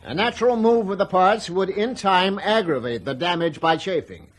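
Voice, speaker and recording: An actor playing a reassuring, defensive aircraft company spokesman in a radio drama, explaining formally and carefully. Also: sits high. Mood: defensive, explanatory. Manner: measured, formal explaining with hedged emphasis.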